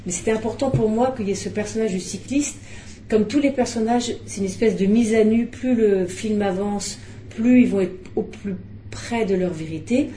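A middle-aged woman, a film director, talking at a press conference, animated and restarting often. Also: medium pitch, normal speed, reverberant, a little hiss or hum, rough recording. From Belgium.

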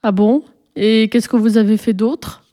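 A cheeky young schoolboy acted by a woman reader from a storybook, impulsive and curious. The talk is blunt and childish, full of exclamation, in a soft-edged mellow tone. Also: a little high, fairly even intonation, unhurried, lightly acted. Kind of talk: storybook reading, character dialogue.

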